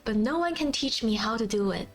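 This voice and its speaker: A woman's voice: on the high side, animated, brisk. A woman voicing a naive, earnest young man in a fairy tale, with a plaintive manner. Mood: plaintive wishing. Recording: faint background music.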